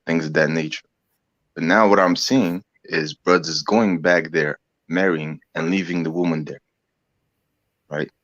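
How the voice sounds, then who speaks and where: A man in his thirties, raised in France, speaking English as a second language while a little sick, halting and searching for words in a casual discussion. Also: rumbling low, fairly bright tone, dry recording. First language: Algerian family language, not English. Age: 30s. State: sick, coughing. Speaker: guest. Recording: dry, dull lo-fi audio.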